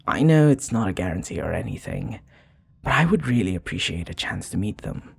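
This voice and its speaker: Man acting a low, husky, flirty vampire bartender. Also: big pitch swings, soft background music, exaggerated voice. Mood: hopeful, grateful. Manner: tender, hesitant, flirtatious, with soft pauses. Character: charming, flirty, sincere male vampire bartender. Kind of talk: intimate ASMR roleplay acting.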